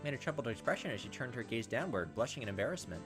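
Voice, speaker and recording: A man's voice: medium pitch, sing-song, very fast. A man hosting a let's play, reading game text aloud and adding casual, wry asides. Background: game music.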